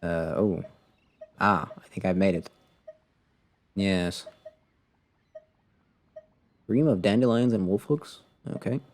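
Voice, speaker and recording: A man's voice: low-pitched, slow, pauses often, a little mellow. A man giving casual live commentary as a game streamer, rambling and muttering to himself with playful sarcasm.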